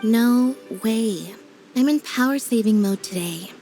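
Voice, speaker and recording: A woman voicing a deadpan, low-energy young female assistant in a game cutscene dub. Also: takes it slowly, soft background music. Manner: flat, deadpan, drowsy.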